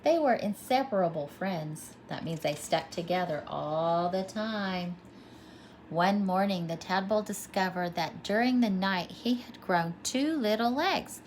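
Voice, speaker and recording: A woman's voice: medium pitch, lively intonation, unhurried, a little hiss or hum. A woman pre-K teacher reading a storybook aloud to young children, expressively and with simple explanations.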